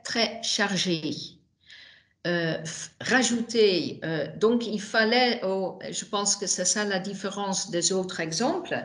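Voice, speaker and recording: Slow, echoey-voiced non-native woman. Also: fairly low pitch, a bit bright. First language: not French. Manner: halting, many restarts and fillers. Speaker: witness, widow of a manager. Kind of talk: video call testimony. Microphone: video call. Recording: echoey room on a video call.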